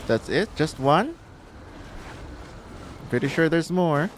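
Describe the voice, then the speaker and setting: A man whose native language is Filipino gives a relaxed, chatty commentary over his gameplay. He speaks slowly, and his pitch moves a lot. A faint ambient noise sits behind him. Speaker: gaming channel host. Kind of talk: casual gaming commentary.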